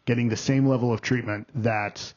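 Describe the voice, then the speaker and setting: Halting man critic, muffled sound. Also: medium pitch, steady tone, a little mellow. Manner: halting, many restarts and fillers, then bursts of emphasis. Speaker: film critic, co-host. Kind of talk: casual review chat. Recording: dull lo-fi audio.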